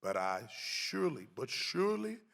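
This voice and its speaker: A man reading as a humble man, low and reverberant. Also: sing-song, creaky. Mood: gentle, firm. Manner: quiet, earnest, unhurried refusal. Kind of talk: dramatic reading of a historic account. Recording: long hall reverb.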